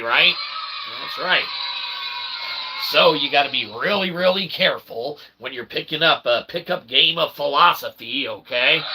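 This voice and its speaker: Very bright-voiced man rambling theatrically. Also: mid-range voice, husky, poor audio. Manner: wordy, theatrical, pompous phrasing. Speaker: host of a philosophy comedy livestream. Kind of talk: rambling mock-grandiose livestream monologue.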